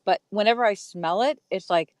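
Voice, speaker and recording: A woman's voice: medium pitch, normal speed, no room sound. Easygoing woman co-host, dry sound. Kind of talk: casual chat. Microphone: video call.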